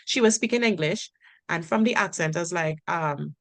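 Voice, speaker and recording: Woman, host, lower register, chatty storyteller, dry sound. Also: normal speed, a bit bright, no echo. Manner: anecdotal storyteller, tags sentences with "right?". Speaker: Spanish teacher and interview host. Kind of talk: casual conversational interview.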